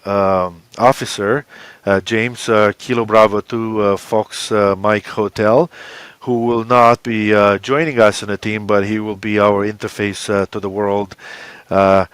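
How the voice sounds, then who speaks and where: Man, lowish pitch, clear resonant tone, hesitant delivery, many filler uhs, interview answers, dry recording. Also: a bit bright, no echo. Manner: halting, hesitant, with very frequent uhs. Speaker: interviewed expedition co-leader. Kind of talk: interview answers, explaining plans.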